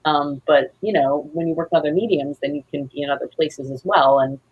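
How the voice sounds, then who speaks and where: A woman with a husky voice chatting casually as an interview guest, effusive and rambling. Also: low-pitched, a little fast, dull audio. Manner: effusive, rambling, acts out quotes. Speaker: guest, playwright.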